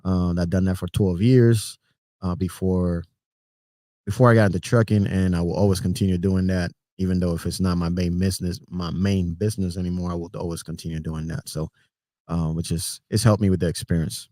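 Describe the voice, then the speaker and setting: A middle-aged Puerto Rican man with a low, mellow voice chats loosely on a livestream, greeting viewers and chuckling between thoughts. The sound is dry and close, and he sometimes drifts away from the mic. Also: brisk, no room sound. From Puerto Rico. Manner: relaxed, rambling, greets chat by name, chuckles often. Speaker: trucker livestream host. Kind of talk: casual livestream chat with viewers.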